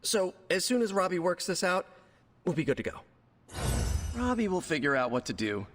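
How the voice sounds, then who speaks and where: A man's voice: high-pitched, big pitch swings, normal speed, fairly bright tone, big-room sound, exaggerated voice. An actor playing a chatty, well-meaning young man, a glib supportive friend in a video game cutscene. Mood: breezy, earnest.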